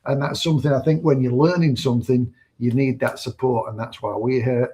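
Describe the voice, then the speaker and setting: A man's voice: medium pitch, fairly even intonation, fairly quick, mellow, breathy edge. A man in his fifties co-hosting a casual livestream, telling stories in an easygoing, rambling way. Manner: easygoing storyteller, rambling with fillers. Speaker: livestream co-host.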